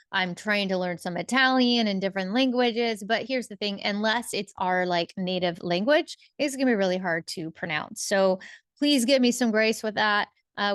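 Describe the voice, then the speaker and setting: A woman teaching about Bible words in a relaxed monologue, thinking aloud with restarts and listing meanings one word at a time. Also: mid-range voice, smooth, no room sound, studio quality. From English-speaking.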